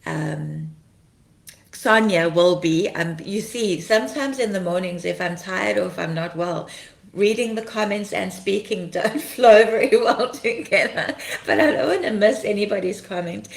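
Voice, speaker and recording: A woman, slightly croaky from being ill, hosting a casual ministry livestream, chatting, laughing often and greeting viewers by name. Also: mid-range voice, measured pace, reverberant. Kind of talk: casual livestream chat reading comments.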